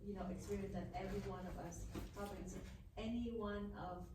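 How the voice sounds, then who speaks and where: A woman talking casually on a live panel in a halting way, often restarting her phrases. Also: medium pitch, fairly even intonation. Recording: echoey room, distant, noisy.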